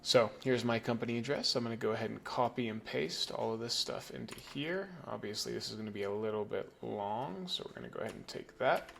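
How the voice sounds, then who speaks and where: A man raised in New Zealand with an American accent, casually explaining a software setup step by step and checking in with "okay?" and "right?". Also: mid-range voice, a little fast, creaky, few pauses, a little hiss or hum. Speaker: email marketing instructor. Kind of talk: screen-recorded software tutorial.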